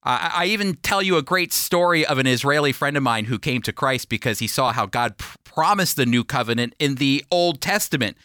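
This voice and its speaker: A man's voice: medium pitch, animated, a little fast, few pauses, pushed and bright, clear, resonant tone, no room sound, clean studio sound. A man hosting a radio Bible program, teaching in an emphatic, explanatory, preacherly manner.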